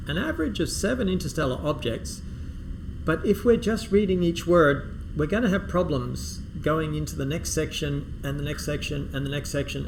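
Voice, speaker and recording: Male English teacher, noisy background. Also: on the high side. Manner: reads sample sentences with exaggerated rises and pauses. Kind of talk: explaining and modeling reading aloud.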